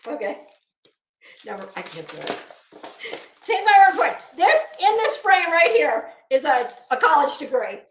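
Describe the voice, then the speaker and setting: A woman's voice: sits high, big pitch swings, normal speed, pauses often, fairly bright tone, a little hiss or hum. A middle-aged woman giving an unscripted, rambling commentary rant in a sardonic, scornful, sweary manner. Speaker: online commentary vlogger. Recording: echoey room.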